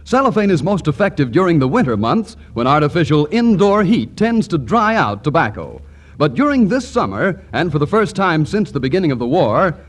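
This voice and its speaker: A man reading a radio commercial as an announcer in polished 1940s advertising style. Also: fairly high pitch. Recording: 1940s radio broadcast recording.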